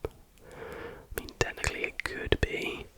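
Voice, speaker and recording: An ASMR host speaking in a breathy, unvoiced whisper, reading aloud in an unhurried, informal way.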